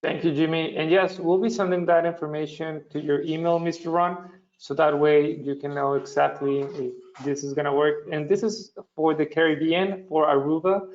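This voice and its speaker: Guy moderating a webinar, polite, slightly higher voice, kinda muffled call sound. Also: fairly even intonation, moderate pace, hardly any pauses, darkish tone. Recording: dull webinar call audio.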